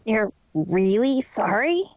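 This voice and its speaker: A woman voicing a brash young female pegasus pony who is gruffly caring and casual, in a storybook reading. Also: medium pitch, very animated, slow pace, darkish tone, dry recording, lo-fi sound. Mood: concerned.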